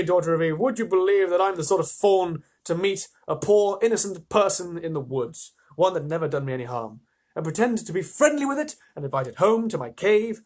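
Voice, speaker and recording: A man's voice: high-pitched, voice pushed up. A man voicing a timid, sorrowful male faun in a story reading, stammering and wailing in guilt. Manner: wailing, drawn-out, stammering, repeating himself.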